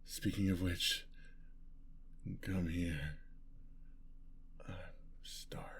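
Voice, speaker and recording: Man acting a slow, menacing bloodthirsty vampire. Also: low-pitched, huge pitch swings, fairly bright tone, voice pushed down, exaggerated voice. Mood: hungry, seductive. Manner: slow, savouring, breathy menace. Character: bloodthirsty male vampire, menacing, seductive, theatrical. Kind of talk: ASMR fantasy roleplay.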